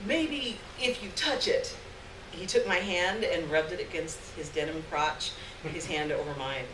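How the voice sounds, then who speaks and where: A woman giving an expressive dramatic reading of a literary short story live on stage, acting out the dialogue. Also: fairly low pitch, a little slow. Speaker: story reader. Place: live stage event, recorded from a distance. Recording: roomy distant live recording, clear background noise.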